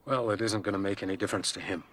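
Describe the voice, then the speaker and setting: A man's voice: on the low side, steady tone, rapid-fire, background noise, close to an everyday voice. A man acting a guarded, wary wilderness guide in a radio drama, speaking in a terse, understated, natural way. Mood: reluctant. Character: guarded, wary male wilderness guide, older than his companion.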